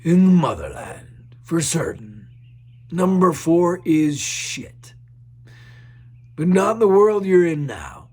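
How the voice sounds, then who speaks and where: A man's voice: fairly high pitch, big pitch swings, slow pace, long pauses, a little mellow, husky, heavily acted. A man voicing Sergeant Lee, a grim army sergeant warning young soldiers.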